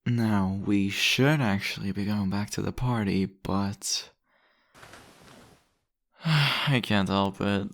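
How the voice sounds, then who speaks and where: A man's voice: fairly low pitch, slow, long pauses, fairly bright tone. A man playing a charming, teasing vampire host in an intimate, conversational roleplay style. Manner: flirtatious, suave, conversational. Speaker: audio roleplay voice actor. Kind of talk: intimate ASMR roleplay acting. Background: background music.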